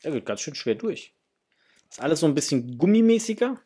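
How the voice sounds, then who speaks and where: A middle-aged man with a husky voice, chatting casually and enthusiastically to viewers as he hosts an unboxing, sometimes muttering to himself. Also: on the high side, very animated, a little fast, mellow. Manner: enthusiastic, exclamatory, muttering to himself while handling things. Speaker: host of a toy unboxing channel. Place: small indoor hobby room.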